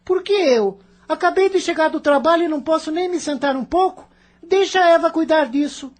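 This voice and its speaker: A woman's voice: very high voice, brisk. A woman playing a rebellious, selfish teenage boy, an irritable dreamer with a curt, complaining way, in an audio drama. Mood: irritated, tired.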